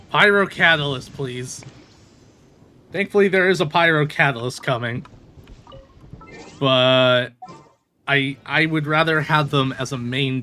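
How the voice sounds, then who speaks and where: A man doing casual live gameplay commentary in a playful, expressive way. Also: medium pitch. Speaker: game streamer. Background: music.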